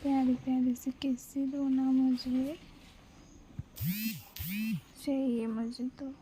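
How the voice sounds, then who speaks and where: A young woman with a soft, breathy voice, often near a whisper, talking in a cutesy, coaxing, affectionate way as a girlfriend on an intimate romantic phone chat. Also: high voice, level tone, slow, a little hiss or hum. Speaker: girlfriend in a one-sided phone-call roleplay.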